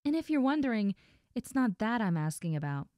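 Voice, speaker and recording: A woman playing a best friend's friendly, nosy older sister in an intimate roleplay audio drama, talking softly and coyly straight to the listener. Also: fairly high pitch, very animated, fairly quick, no echo, clean studio sound. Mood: teasing, reassuring. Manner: soft, gentle, coy, addressing the listener directly.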